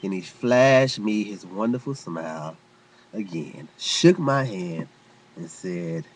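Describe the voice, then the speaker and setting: A man reading an inspirational first-person story aloud as a storyteller, with earnest, deliberate phrasing and dramatic pauses. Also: sits low, expressive, slightly rough.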